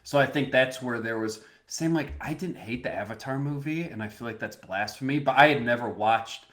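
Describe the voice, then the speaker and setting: A man's voice: medium pitch, brisk, fairly bright tone. A man co-hosting a podcast in a casual chat, pushing his point with argumentative energy. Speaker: podcast co-host.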